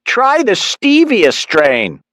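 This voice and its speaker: A man voicing a chatty, teasing middle-aged mother in a high, sing-song voice. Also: huge pitch swings, slow pace, fairly bright tone, no echo, clean studio sound, exaggerated voice. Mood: teasing, giddy.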